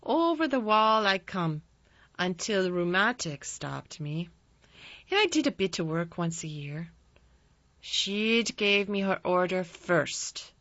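A woman plays an old Yorkshire gardener in a storybook, crabbed yet tender and shrewd. He talks in a dry, stubborn, unhurried way, and the sound is close and dry with a bright edge. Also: fairly low pitch, lively intonation, dry recording, lo-fi sound, heavily acted. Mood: grumpy, obstinate. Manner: dry, slow, obstinate.

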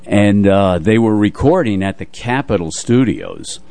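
A man in his seventies co-hosting a radio talk show, reminiscing off the cuff in measured, halting phrases. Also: fairly low pitch, expressive, gravelly, dark tone. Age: 70s. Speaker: radio show co-host, veteran broadcaster.

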